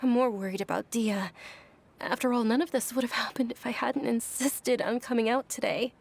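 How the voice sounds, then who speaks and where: Polite, higher-voiced young noble lady. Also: steady tone, moderate pace, gravelly. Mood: caring. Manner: polite, proper. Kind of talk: anime game voice acting. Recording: music under game dialogue.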